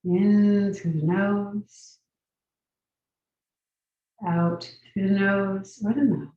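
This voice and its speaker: A woman guiding a meditation as a teacher, giving gentle, unhurried instructions with long pauses. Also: medium pitch, level tone, phone-quality sound. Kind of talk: guided meditation. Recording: very echoey room, distant mic.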